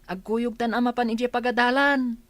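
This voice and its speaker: A woman's voice: a little high, fairly even intonation, normal speed, dry recording, lightly acted. A woman playing a young village schoolboy in a radio comedy-drama, an earnest, respectful and questioning son.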